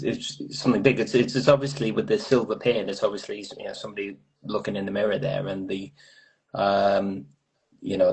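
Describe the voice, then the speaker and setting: A man with a husky voice casually discussing poetry in a tentative, rambling way, often repeating words as he goes. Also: lowish voice, rough recording. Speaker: host of a live poetry reading.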